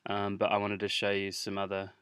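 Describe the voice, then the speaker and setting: Low-voiced man with a bright edge. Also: monotone, fairly quick, no room sound, studio quality. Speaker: music production instructor. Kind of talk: informal software tutorial. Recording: clear background noise, mouse clicks.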